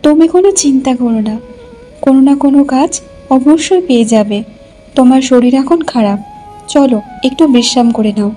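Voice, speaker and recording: Woman acting a high-voiced, caring village wife. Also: brisk, dark tone, soft background music, natural voice. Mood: soothing. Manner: soothing, concerned. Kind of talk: cartoon story voice acting.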